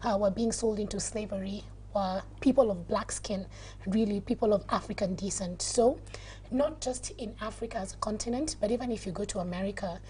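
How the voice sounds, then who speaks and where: A woman's voice: medium pitch, a little fast, a little mellow, slight background noise, rough recording. A Kenyan woman with a Kenyan accent, a guest on a studio talk show, explaining earnestly and laughing easily. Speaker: guest, activist.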